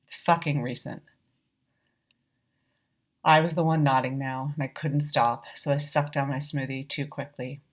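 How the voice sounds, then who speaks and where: A woman reading her own short story as measured, reflective literary narration, lightly acting out the dialogue. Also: rumbling low, level tone.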